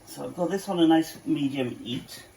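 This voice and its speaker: A man's voice: a little high, normal speed, vocal fry, mellow, a little hiss or hum, poor audio. A man with a Welsh accent who runs a cafe, talking viewers through a cooking tutorial at his home kitchen in a chatty way, with joking asides. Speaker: cafe cook.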